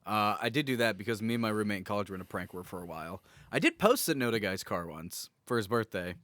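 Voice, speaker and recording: Dude with a fairly deep voice chatting on a podcast, wry, very dry audio. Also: male voice, expressive, fast talker, fairly bright tone, dry recording. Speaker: podcast co-host. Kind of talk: casual chat, telling anecdotes.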